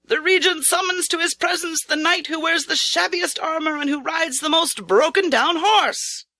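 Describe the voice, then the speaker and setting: Woman as a royal herald, raised high pitch, calling out, ceremonious storybook delivery, dry sound. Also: moderate pace, bright, forceful tone, dry recording. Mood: proclaiming. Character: proclaiming male herald of the regent.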